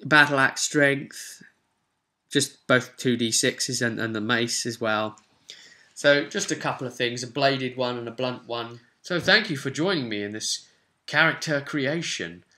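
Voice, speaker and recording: A young Englishman with a bright, forward voice hosts a casual tabletop tutorial. He talks in a chatty way at a medium pitch, dropping in wry asides as he explains. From England. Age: 20s. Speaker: host of tabletop roleplay channel. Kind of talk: casual tutorial chat to viewers. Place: small home room, indoors.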